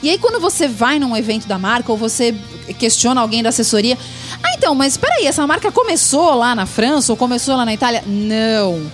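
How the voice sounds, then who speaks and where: Female podcast co-host, high voice, opinionated, faint music under. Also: big pitch swings, fairly quick. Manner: opinionated, exasperated. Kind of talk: casual chat.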